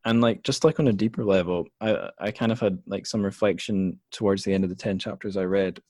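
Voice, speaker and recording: Deep-voiced man hosting a podcast. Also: brisk, darkish tone, no room sound, clean studio sound. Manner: thoughtful, hesitant, many restarts and fillers. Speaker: podcast host. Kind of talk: casual podcast interview.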